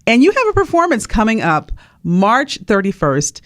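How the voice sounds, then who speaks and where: A woman's voice: a little high, wildly swooping pitch, normal speed, no echo, clean studio sound. A woman radio host interviewing a guest conversationally in an effusive, affirming manner. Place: radio studio.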